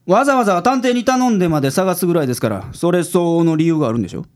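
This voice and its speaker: A woman's voice: lowish voice, animated, very fast, a little mellow, natural voice. A woman playing a brusque, dry male private detective in a comic radio drama, with of speech. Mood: businesslike. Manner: brusque, dry, casual older-man phrasing. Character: gruff male private detective, brusque, stubborn, sneezing with hay fever.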